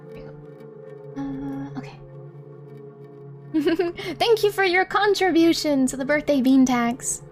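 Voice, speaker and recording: A giggly, expressive woman hosting a casual livestream, chatting with viewers and reading a story aloud. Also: high-pitched, slow, long pauses. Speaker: livestream host. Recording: music playing behind the voice. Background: background music.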